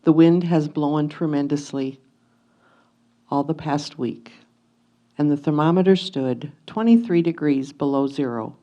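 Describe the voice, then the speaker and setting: Lady acting an 1850s wife reading her letter, soft dark mellow voice, curious and a bit worried, gentle and deliberate. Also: deep voice. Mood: matter-of-fact. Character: anxious, curious 1850s wife writing to her absent husband. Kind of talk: reader's theatre letter reading.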